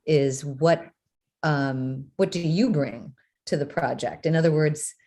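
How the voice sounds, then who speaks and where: Woman, low pitch, moderator, long rambling questions, rephrases often. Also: takes it slowly, dark tone. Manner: long winding questions, rephrasing with "in other words". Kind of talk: conversational panel interview.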